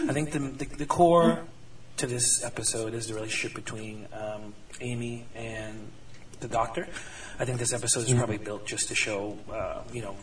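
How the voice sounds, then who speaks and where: A man from California leaving a casual recorded review for a podcast, pausing with many ums and uhs. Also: mid-range voice, distant mic, rough recording. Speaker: listener sending an audio message.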